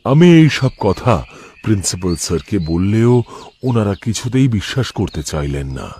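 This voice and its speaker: A man's voice: fairly low pitch, sing-song, normal speed, warm tone, a little hiss or hum. A man reading scripted horror cartoon narration in the first person, in a dramatic, suspenseful manner.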